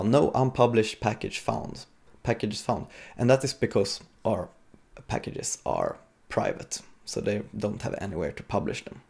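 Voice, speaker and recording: Guy doing a live coding talk, super gravelly husky voice, kinda hesitant, thinking out loud. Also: mid-range voice. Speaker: software developer giving a conference talk. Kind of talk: live coding demo, technical presentation.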